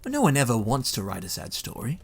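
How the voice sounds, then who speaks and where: Male actor as devoted Victorian father, earnest, animated. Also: medium pitch, brisk, gravelly, slightly rough, heavily acted. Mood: fatherly. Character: devoted Victorian husband and father, adult man.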